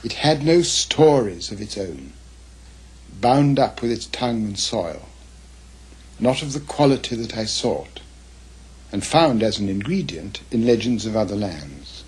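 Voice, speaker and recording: Very husky older Englishman, literary and measured. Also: on the low side, animated, a little mellow. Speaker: author's son giving reminiscences and readings. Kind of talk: reflective reminiscence and literary reading of letters.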